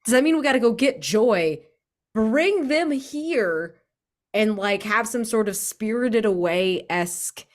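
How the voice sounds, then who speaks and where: A woman in casual tabletop game chat, thinking aloud in an animated, gushing way. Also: fairly high pitch, slow. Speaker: tabletop RPG player.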